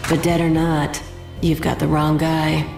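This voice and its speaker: Low-voiced femme fatale hitwoman, cool and dry, echoey with soft music. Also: monotone, a little slow, vocal fry. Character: cool female professional killer, femme fatale.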